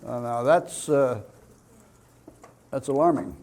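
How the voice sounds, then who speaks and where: An older man giving a security talk as an expert speaker, in deliberate, measured phrases with pauses. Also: medium pitch, darkish tone. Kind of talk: public training talk with personal anecdotes.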